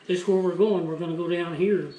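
A man's voice: high-pitched, fairly even intonation, fast, warm tone. An older man telling family stories in a rambling, folksy way at his home kitchen counter. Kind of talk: casual family storytelling. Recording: roomy kitchen with background noise.

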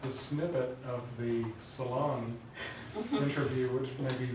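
Flat-voiced man far off in an echoey room. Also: mid-range voice, slow. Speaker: audience member asking a question. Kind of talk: audience question. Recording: distant voice in an echoey room with clear hiss.

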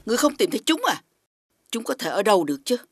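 A woman playing a scheming, imperious witch in an animated fairy tale, mocking and theatrical. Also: mid-range voice, racing, close to an everyday voice. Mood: frustrated, commanding. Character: scheming, imperious wicked witch posing as mother. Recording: loud background music.

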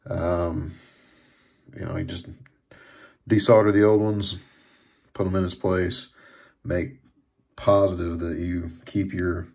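Casting: man with a low, creaky voice for an informal electronics repair walkthrough, hesitant and repetitive in phrasing. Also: little pitch movement, a little mellow. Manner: halting, repeats words and trails off. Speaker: hobbyist demonstrating electronics repair. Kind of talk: casual hands-on how-to narration. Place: indoors at a workbench.

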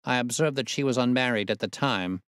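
A self-assured gentleman detective, acted by a male narrator with a mid-range voice. He is observant and brisk, speaking in precise, courteous, formal sentences, recorded close and dry for an audiobook. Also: medium pitch, smooth, no echo, voice pushed up, natural voice. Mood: keen, assured.

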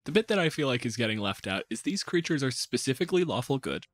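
A man's voice: medium pitch, sing-song, fast, dry recording. A man hosting a casual podcast in a sardonic, grumbling, exasperated manner. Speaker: podcast host.

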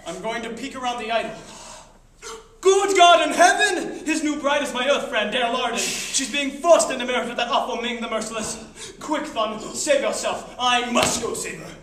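A man's voice: extremely high pitch, expressive, moderate pace. A man voicing a brave, earnest hero of a space-adventure radio serial, declamatory and theatrical. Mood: urgent. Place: classroom, indoors. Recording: echoey classroom, distant camera, faint noise.

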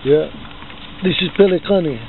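A man's voice: a little high, a little slow. A man with a Hawaiian local accent, chatting casually as he shows places around him from inside a car. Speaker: vlogger. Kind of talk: casual chat while touring by car. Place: inside a car outdoors by the shore. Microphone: handheld camera in the car. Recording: tinny, band-limited phone video with loud car noise.

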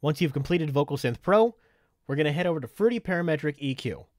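Man, methodical software tutorial narration, instructional delivery, dry close-mic sound. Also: a little high, expressive, fast, no echo. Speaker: tutorial presenter.

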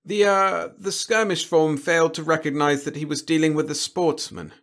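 A man voicing a cool, sly diplomat in an audiobook, speaking in a measured, dryly formal way. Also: fairly high pitch, level tone, a bit bright, voice pushed up. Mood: dryly courteous. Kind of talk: audiobook character dialogue.

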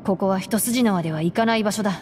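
A woman voicing a gentle, perceptive young right-hand companion in a video game, calm and reflective. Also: mid-range voice, quick pace, faint music behind, lightly acted. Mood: cautioning.

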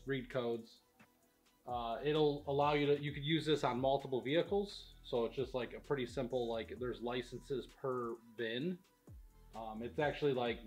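A middle-aged man giving a casual, matter-of-fact walkthrough in an informal way, recorded indoors in a workshop. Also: medium pitch. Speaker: product demonstrator at a powersports tuning shop. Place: large indoor vehicle workshop.

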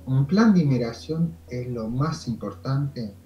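A Canadian man, French his first language, speaking with an Argentine accent as an immigration consultant in a video call interview, telling stories in a relaxed, rambling way with fillers. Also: medium pitch, unhurried, echoey room, muffled recording, faint music behind.

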